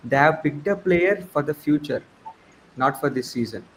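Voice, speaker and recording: A man's voice: a little high, expressive, fairly quick. A man with a South Indian accent chatting as a cricket panelist, emphatic and given to repeating his phrases. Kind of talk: casual panel discussion. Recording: faint background noise.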